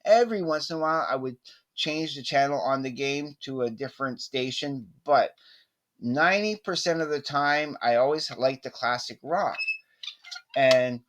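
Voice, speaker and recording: A middle-aged man chatting casually to camera as a vlogger, rambling and halting but enthusiastic. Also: medium pitch, fairly bright tone. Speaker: vlogger showing a cassette collection. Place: small indoor room with poster-covered walls.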